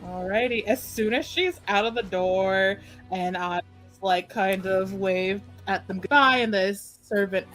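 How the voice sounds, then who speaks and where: A woman's voice: mid-range voice, unhurried, few pauses, fairly bright tone, soft background music. A woman chatting and narrating casually as she plays a tabletop role-playing game.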